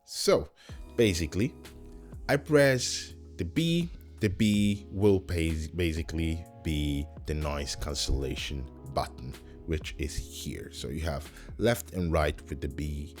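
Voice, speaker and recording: Male tech reviewer, very deep voice, slow delivery, casual how-to tone that repeats key points, light music behind. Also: a little mellow. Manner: repeats points, checks in with "right?". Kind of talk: casual explanatory product demo.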